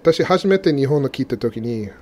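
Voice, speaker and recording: Halting man, mellow voice. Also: mid-range voice, lively intonation, slight background noise. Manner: halting non-native speech with frequent restarts and laughs. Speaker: co-host. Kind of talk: casual radio chat.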